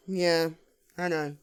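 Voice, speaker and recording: A woman's voice: low-pitched, clean tone. A British woman playing a playful, chatty female best friend in a humorous, conversational ASMR roleplay. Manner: animated, conversational, teasing, with laughs and sighs.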